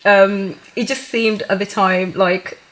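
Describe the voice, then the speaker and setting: A woman's voice: medium pitch, fairly even intonation, slow, fairly bright tone, slight background noise, rough recording. A young woman from Kenya chatting casually as an interview guest, telling anecdotes and acting out little quotes. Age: young adult. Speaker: interview guest, pageant queen. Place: TV talk show studio, indoors on a sofa.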